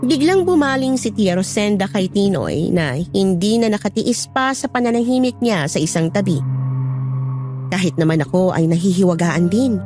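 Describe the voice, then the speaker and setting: A woman's voice: mid-range voice, lightly acted. A woman narrating a scripted first-person horror story. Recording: prominent music bed under narration.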